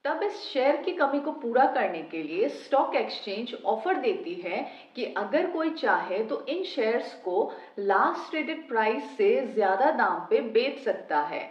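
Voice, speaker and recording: A woman hosting a finance channel, giving a steady, step-by-step scripted explanation. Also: on the high side, a little slow, hardly any pauses. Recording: roomy, slightly dull home recording.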